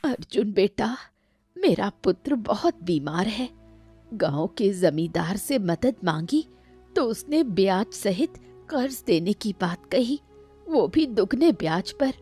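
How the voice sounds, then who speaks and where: A woman's voice: medium pitch, sing-song, takes it slowly, mellow, breathy edge, faint music behind, exaggerated voice. A woman voicing an anxious old village woman in a story, pleading and humble.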